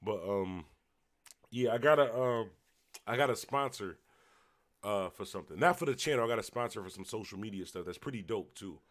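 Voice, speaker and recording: A man from Buffalo with a Western New York accent, a little tipsy, rambling loosely as he hosts a late-night livestream and shouts out viewers. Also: lowish voice, lively intonation, a little fast. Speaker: livestream host. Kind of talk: casual livestream chat reading comments.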